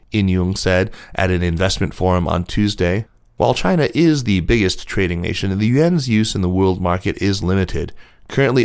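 A man's voice: deep voice, no room sound, clean studio sound. A man hosting a business news podcast, reading scripted stories as narration. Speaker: podcast host and news reader.

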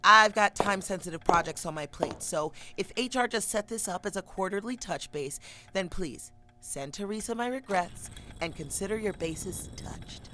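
An actress playing a brisk, impatient corporate vice president in an audio drama, sardonic and bossy. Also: female voice, medium pitch, lively intonation, background noise, lightly acted. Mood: impatient, indignant.

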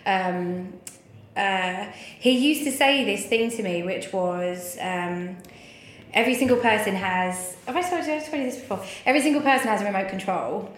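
A woman's voice: medium pitch, moderate pace. A woman in her thirties talking candidly and informally as an interview guest, telling personal stories with plenty of swearing. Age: 30s. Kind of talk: candid conversational storytelling. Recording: echoey room, faint background noise.

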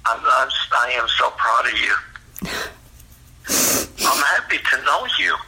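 A middle-aged or older man talking intimately and earnestly on a phone call, tender, pleading and halting, sounding choked up. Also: mid-range voice, expressive, sharp tone, breathy edge. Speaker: father talking with his adult daughter. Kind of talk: intimate, emotional phone conversation. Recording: phone call heard through a speaker.

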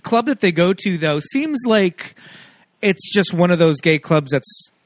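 A man's voice: sits high, lively intonation, a little slow, dry recording. A man, a podcast host, telling stories in a chatty way with many fillers in a casual film chat.

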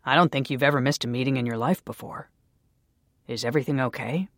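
A man's voice: mid-range voice, fast talker, dry recording, clean studio sound, close to an everyday voice. A reader voicing a frustrated male coworker who scolds and swears, his voice rising as he pushes harder. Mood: worried. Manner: scolding, swearing, growing louder.

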